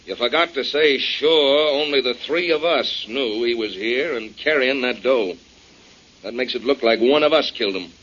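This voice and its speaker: A man's voice: medium pitch, moderate pace, a bit bright, lightly acted. An actor playing a defiant, sarcastic American tough guy in a 1940s radio drama, slangy, on a muffled old recording. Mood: defiant, needling. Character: defiant, needling tough guy refusing the police.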